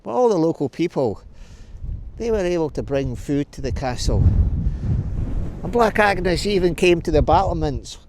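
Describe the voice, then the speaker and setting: A man's voice: fairly high pitch, expressive, normal speed, pauses often, background noise. A man telling a story for children, stopping to ask and answer questions. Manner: explains as he tells, asks and answers questions.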